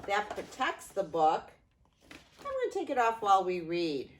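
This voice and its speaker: An older woman, a grandmother, reading a children's story aloud in an expressive way, drawing out words and pausing. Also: medium pitch. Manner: expressive, with drawn-out words and pauses.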